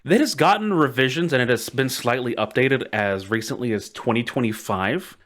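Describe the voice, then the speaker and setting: A man with a medium-pitched voice hosts a casual podcast. He tells stories conversationally and with enthusiasm. He stresses points by repeating words. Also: normal speed, a bit bright, a little hiss or hum. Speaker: podcast host. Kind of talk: casual storytelling podcast chat.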